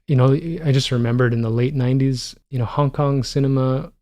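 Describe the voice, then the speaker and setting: A man's voice: mid-range voice, fairly even intonation, a little fast, mellow. A man in his forties, co-hosting a podcast, chatting casually about films and thinking aloud with lots of fillers. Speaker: podcast co-host. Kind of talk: casual film-discussion chat.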